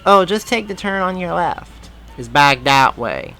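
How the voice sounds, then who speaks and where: A breezy, casual passer-by from a story reading, acted out by a man. The voice is pitched up, bright and emphatic, with faint music behind it. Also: lively intonation, measured pace, exaggerated voice. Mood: breezy, helpful. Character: casual passers-by giving muddled, breezy street directions.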